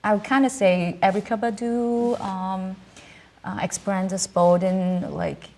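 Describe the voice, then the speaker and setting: A woman singer from southern China, a native Cantonese speaker, talking in a studio interview with many pauses and fillers. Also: mid-range voice, steady tone, mellow. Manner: hesitant, many ums and uhs, laughs easily. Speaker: singer, guest. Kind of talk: casual interview chat.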